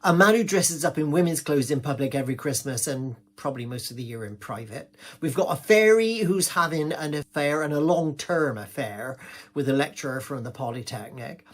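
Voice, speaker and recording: A man's voice: a little high, expressive, brisk. Gossipy Englishman telling a rambling comic monologue. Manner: rambling, indignant village-gossip delivery.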